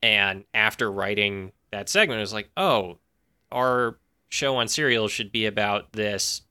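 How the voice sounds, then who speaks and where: A man's voice: fairly low pitch, steady tone, measured pace, sharp tone, clear, resonant tone. A man telling anecdotes as a podcast guest, explaining casually and restarting to clarify. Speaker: podcast guest, audio drama writer. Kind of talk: casual interview chat, anecdotal.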